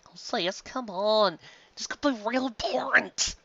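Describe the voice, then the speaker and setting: A man's voice: high voice, a little slow, sharp tone, no room sound, pitched above the performer's own voice, heavily acted. A man voicing a proud, stubborn, impulsive young farm mare with folksy country phrasing, on a dull lo-fi recording. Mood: pleading.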